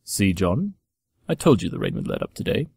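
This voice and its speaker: An actor playing a brilliant, smug pony detective, speaking in a measured, condescending manner in an audio drama. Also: male voice, low-pitched, huge pitch swings, creaky, a little mellow, husky, dry recording, studio quality, exaggerated voice. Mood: smugly pleased.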